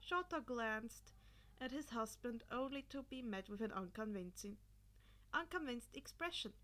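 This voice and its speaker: A woman's voice: on the high side, lively intonation, a little slow, fairly bright tone. A woman narrating a scripted story as a reader of fan fiction.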